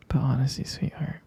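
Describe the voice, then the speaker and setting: A performer playing a tender, doting partner at bedtime in an intimate ASMR roleplay, in a breathy, gravelly whisper with a halting, affectionate delivery. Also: natural voice. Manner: slow, hushed, halting, affectionate.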